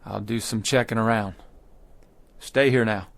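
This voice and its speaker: A man's voice: lowish voice, fairly even intonation, a little slow, vocal fry. An actor playing a desperate pioneer wagon leader who pleads with his people in an urgent, stammering way. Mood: determined.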